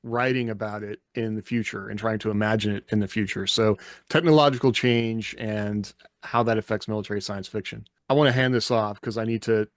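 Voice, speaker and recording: A man's voice: fairly low pitch, fairly even intonation, fairly quick, few pauses, no room sound, clean studio sound. A man hosting a casual panel chat in a playful, joking way. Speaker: podcast host.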